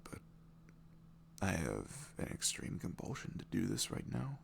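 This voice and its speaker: A man's voice: low-pitched, huge pitch swings, measured pace, gravelly, full character voice. A man playing an adorable, lovestruck young boyfriend in an ASMR romance roleplay, giggly and gushing as he stumbles over words. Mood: tender, nervous.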